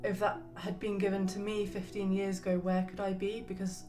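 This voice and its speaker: A woman in her twenties from Surrey talks in an earnest, reflective way. Her pitch stays fairly level, and music plays clearly under her voice. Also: flat delivery, a little fast, mellow. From Surrey, England. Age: 20s. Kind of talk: documentary interview.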